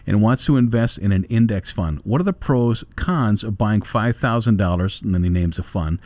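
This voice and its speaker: A man's voice: fairly low pitch, lively intonation, normal speed, darkish tone, dry recording. A man hosting a question-and-answer program, presenting and reading out listener questions. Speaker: host.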